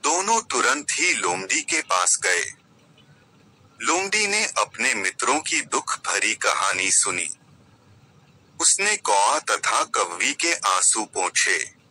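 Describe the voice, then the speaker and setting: Slow, very bright male storyteller. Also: fairly low pitch, big pitch swings, rough recording. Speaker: storyteller, narrator. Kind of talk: scripted moral story narration for children.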